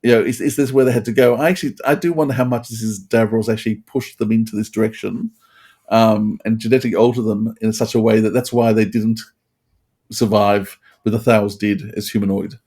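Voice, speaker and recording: A man hosting a casual review chat, rambling and halting, often repeating his phrases. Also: lowish voice, steady tone, darkish tone, husky. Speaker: podcast host.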